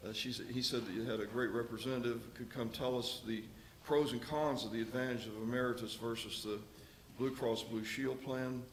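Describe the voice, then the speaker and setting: A man leading a county commissioners meeting, speaking in a folksy, halting way with many pauses and restarts. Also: mid-range voice, steady tone. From Texas. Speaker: county official chairing meeting. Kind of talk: public meeting remarks. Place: county commissioners court room. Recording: echoey room mic with faint noise.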